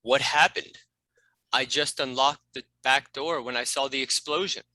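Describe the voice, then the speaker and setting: Man voicing a very bright, forceful talking cat. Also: mid-range voice, fairly even intonation, moderate pace, no echo. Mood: worried. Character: Wilbur, sensible talking male cat sidekick. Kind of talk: animated comedy table read.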